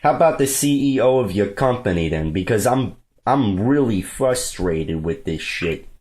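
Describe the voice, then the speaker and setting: A man's voice: lowish voice, lively intonation, moderate pace, a little mellow, slight background noise, natural voice. A man putting on a disgruntled, pushy New Yorker with a New York accent, wheedling and crude on a prank call. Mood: irritated, pushy. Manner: wheedling, sweet-talking, foul-mouthed complaining. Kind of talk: improvised prank phone call.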